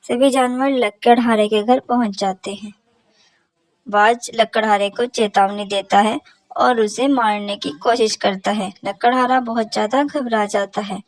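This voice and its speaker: A woman's voice: on the high side, fairly even intonation, a little slow, no echo, low-quality recording. A woman narrator reading a scripted children's story about animals.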